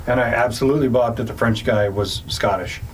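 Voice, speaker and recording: A man's voice: medium pitch, a little fast, recorded from a distance, slight background noise, poor audio. A man co-hosting a casual podcast, trading dry, sarcastic jokes. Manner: joking, dry sarcastic asides. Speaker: podcast co-host. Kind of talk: casual chat.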